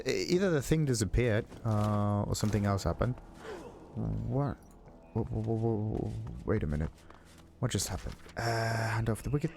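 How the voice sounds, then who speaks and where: A man giving casual game commentary as a let's play host, thinking aloud in a halting, puzzled way. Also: on the low side, vocal fry, darkish tone. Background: game music.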